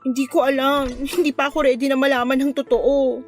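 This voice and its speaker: A woman's voice: sits high, flat delivery, fairly quick, lightly acted. An actress playing a hesitant, troubled young woman in a Filipino radio drama. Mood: afraid, doubting. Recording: music under the dialogue.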